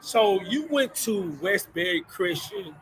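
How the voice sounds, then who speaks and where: Man, host, higher pitch, bright forceful tone, loose rambling questions, faint noise. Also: animated, slow pace, low-quality recording. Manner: loose, repeats "you know what I'm saying". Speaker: show host and coach. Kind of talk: casual interview questions.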